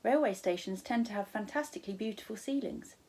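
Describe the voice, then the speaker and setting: A woman voicing a calm, kindly gentleman guardian in a children's storybook, with quaint, reassuring turns of phrase. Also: medium pitch, fairly quick, husky. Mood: calm, cheerful. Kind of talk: children's storybook read aloud.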